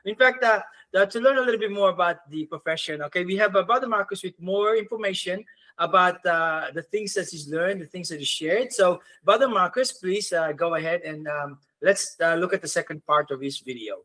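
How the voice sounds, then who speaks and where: A man's voice: sits high, fairly quick, pushed and bright. A man co-hosting a radio show, encouraging and affirming a guest. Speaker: co-host.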